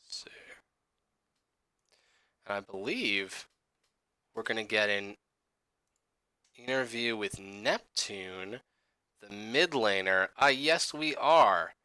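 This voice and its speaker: A man's voice: fairly low pitch, slow, pauses often, sharp tone, clean tone, dry recording. A man hosting an esports stream desk, interviewing a player with upbeat, enthusiastic reactions. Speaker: esports broadcast host.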